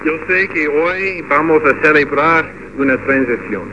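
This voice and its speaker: Guy pastor talking in shaky second-language spanish, kinda muffled with noise behind. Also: a little high. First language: English. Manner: halting Spanish with restarts. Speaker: radio pastor. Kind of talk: church ceremony speech.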